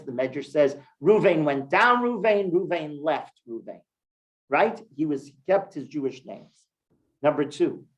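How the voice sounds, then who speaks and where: Man, rabbi, teaching a class, emphatic, swings between loud and soft. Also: lo-fi sound. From Cleveland, US. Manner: emphatic, rising to stress key words, then dropping low. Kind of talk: Torah class sermon. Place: synagogue hall with congregation.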